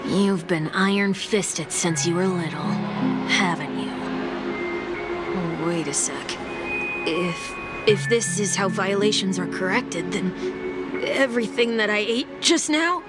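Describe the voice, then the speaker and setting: A woman's voice: medium pitch, takes it slowly, loud music behind the voice, close to an everyday voice. An actress playing a brash, sardonic young woman in a fantasy video game, with a dry, teasing, casual delivery. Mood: sardonic, irritated. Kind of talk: scripted video game voice acting.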